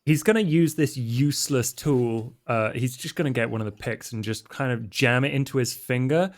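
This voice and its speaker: A man with an average-pitched voice plays a tabletop roleplaying game. He talks casually, as in loose conversation, describing his character's actions on the fly. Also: moderate pace.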